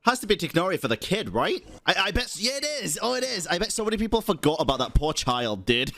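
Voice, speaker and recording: Bright, lively male game streamer. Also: sits high, fast. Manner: slangy, brief interjections. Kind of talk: casual live gameplay reaction.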